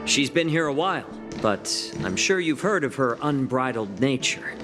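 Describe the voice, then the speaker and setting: An actor playing a polite, unruffled retainer and envoy in a video game, with a formal, suave manner. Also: male voice, mid-range voice, expressive, normal speed, loud music behind the voice, close to an everyday voice.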